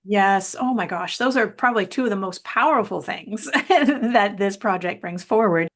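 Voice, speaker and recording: A Canadian woman hosting a podcast, encouraging and affirming, with light laughs. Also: mid-range voice. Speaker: podcast host. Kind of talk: podcast interview.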